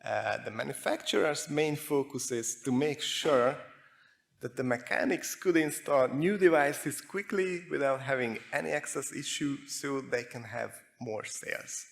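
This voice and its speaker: A man with a Hungarian accent giving a prepared technical presentation, speaking in measured short phrases with pauses. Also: mid-range voice, gravelly. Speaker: conference speaker, security researcher.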